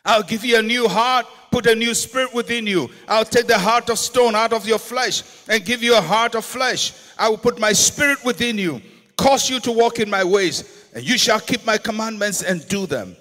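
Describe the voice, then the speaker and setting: An older man with a Ghanaian accent delivers an emphatic sermon with a bright, ringing tone set high for a man. He slows down to land key words and builds up to shouts. The sound carries a long reverb from a big auditorium. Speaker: pastor. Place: large church auditorium stage. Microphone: handheld microphone.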